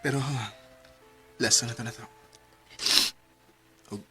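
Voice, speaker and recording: A young man with a Cebuano accent, earnest and soft-spoken, acting the lead in a radio drama. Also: medium pitch, fairly even intonation, slow pace. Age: young adult. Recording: faint music underneath.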